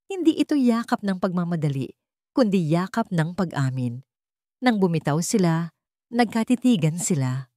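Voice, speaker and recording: A woman narrating a scripted romance story in a measured, dramatic way. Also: lowish voice, sing-song, mellow, dry recording, studio quality.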